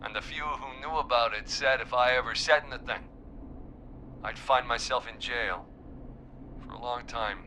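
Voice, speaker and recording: An actor playing a wounded, guilt-ridden small-town man in a video game, weary and halting as he confesses over a call. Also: mid-range voice, sharp tone, slight background noise, low-quality recording. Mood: grieving, guilty. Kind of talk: dramatic video game voice acting.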